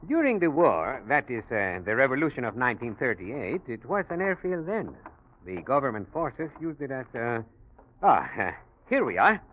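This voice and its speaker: An obliging, talkative South American hotel man played by a male actor with a Spanish accent. His courteous, probing speech swings widely in pitch and falters politely. It sounds like a forties radio play on a thin, noisy old recording. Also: very animated, normal speed, phone-quality sound, close to an everyday voice. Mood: eager, chatty. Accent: Latin American Spanish. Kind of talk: 1940s radio drama acting.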